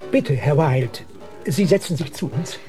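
An actor playing a formal, upright military gentleman in a radio play, polite and moralizing. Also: a little high, lively intonation, a little slow. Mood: courteous, principled. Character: formal, upright military officer with strong principles. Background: faint music.

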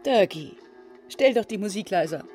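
A woman's voice: medium pitch, expressive, moderate pace, a little mellow, soft background music, lightly acted. An actress playing an exasperated middle-aged mother nagging her grown son in a radio drama, fussy and scolding. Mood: defensive.

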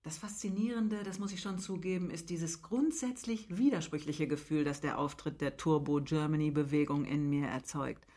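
A man's voice: fairly high pitch, fairly even intonation, normal speed, hardly any pauses, darkish tone, close to an everyday voice. A man playing a reflective, articulate interview host in a radio drama. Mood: reflective, probing.

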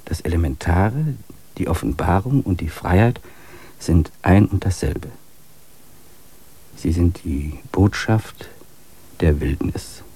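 A man narrating a radio play in a measured, contemplative way. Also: sits low, animated, mellow, slightly rough.

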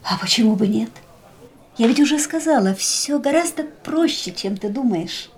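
A woman's voice: fairly high pitch, animated, normal speed, darkish tone. An actress playing a cool, fatalistic young woman in a radio drama, detached and languid with a teasing edge. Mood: detached, wry. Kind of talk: radio play acting. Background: music.

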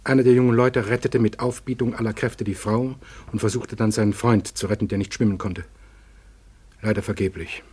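An actor playing a sober family acquaintance with a husky voice in a German radio play. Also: male voice, lowish voice, fairly even intonation, a little fast, a little mellow. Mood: grave reading. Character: sober family acquaintance gravely reporting bad news.

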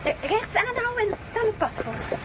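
Verlegen verliefd dorpsmeisje, hoge stem. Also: female voice, very high voice, very animated, fairly quick, noisy background. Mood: curious. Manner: hesitant, bashful. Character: smitten young village girl, shy and eager. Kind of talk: Dutch radio drama acting.

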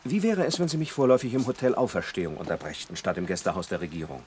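An actor playing an indignant, sardonic foreign traveller in a radio play, argumentative and sarcastic. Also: male voice, sits low, fast talker, darkish tone, slight background noise, lightly acted. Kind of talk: German science fiction radio play.

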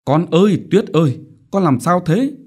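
A man's voice: high voice, expressive, moderate pace, breathy edge, pitched above the performer's own voice. A man doing a fretful, doting mother. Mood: coaxing worried. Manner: coaxing, gentle, worried.

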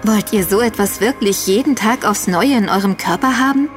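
A woman's voice: mid-range voice, normal speed, faint music behind. A woman delivering scripted advisory narration, speaking directly to the listeners.

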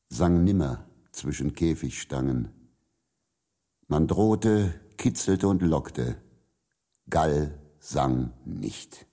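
A man recites verse with a solemn, measured delivery. His voice is extremely deep and dark-toned, and he takes it very slowly. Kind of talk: poetry recitation.

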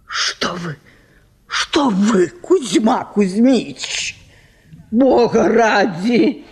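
An actress playing a gracious, easily flattered general's wife in a radio play, speaking with coy, genteel politeness. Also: female voice, mid-range voice, very slow, husky, full character voice. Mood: flustered. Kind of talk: radio play acting of classic comic story.

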